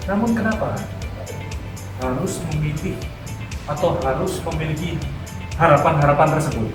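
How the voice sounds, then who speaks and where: A man's voice: fairly high pitch, animated, moderate pace. A man hosting an interview, asking questions in a formal, inquisitive way. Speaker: interviewer, host. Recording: echoey room heard from a distance, music behind.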